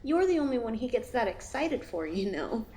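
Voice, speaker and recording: A woman's voice: fairly high pitch, big pitch swings, normal speed, warm tone. A woman playing a teasing young female friend in an audio drama.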